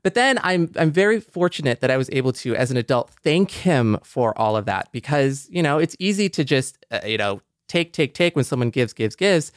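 A man's voice: medium pitch, big pitch swings, fairly quick, few pauses, no echo, clean studio sound. A man co-hosting a podcast in a personal, conversational way, reflective and given to storytelling. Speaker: podcast co-host.

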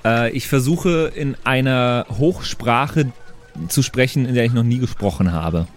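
A man's voice: medium pitch, a little slow, faint music behind. A man talking casually as a player in an improvised tabletop role-playing game.

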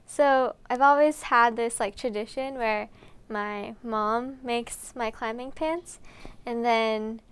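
A woman's voice: on the high side, fairly even intonation, slow, smooth. A young American woman answering interview questions in a bubbly, giggly way, with frequent "like". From United States. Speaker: climber.

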